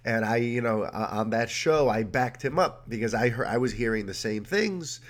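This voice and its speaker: A man's voice: medium pitch, moderate pace. A man hosting a podcast in a casual, rambling monologue, his voice nasal and congested from a cold. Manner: chatty, rambling, energetic. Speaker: podcast host.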